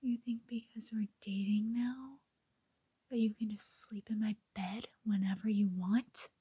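A young woman in an ASMR roleplay playing a bossy, controlling girlfriend, speaking in slow, menacing, breathy whispers close to the mic in a small bedroom. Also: medium pitch, fairly even intonation, dark tone. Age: young adult. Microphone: close camera mic.

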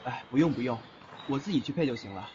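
A man playing a smitten, easily flustered young man in an audio drama, expressive, tender and a little exasperated. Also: medium pitch, fast, noisy background, natural voice. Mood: polite. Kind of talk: audio drama acting with inner monologue. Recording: muffled lo-fi audio drama over music.

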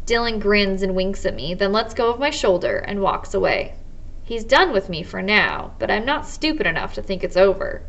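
An American woman in her twenties, a teacher reading a children's novel aloud in measured first-person narration. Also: mid-range voice, animated, a bit bright, poor audio. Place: small indoor office or study.

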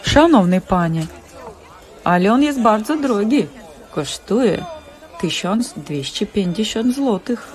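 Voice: mid-range voice, lively intonation, slow. An actor playing a pushy, greedy market trader in a cartoon, hawking and wheedling in a sing-song way. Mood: flattering. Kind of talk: animated stage-play acting.

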